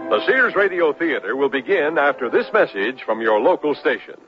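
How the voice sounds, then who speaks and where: A male announcer in seventies radio style, with a lively rise and fall. Loud music plays under him. The broadcast sound is dull. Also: medium pitch, moderate pace.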